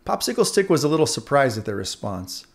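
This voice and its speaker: A man narrating a scripted children's story aloud in an expressive storyteller's manner. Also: mid-range voice, a little fast, creaky. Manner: expressive, animated.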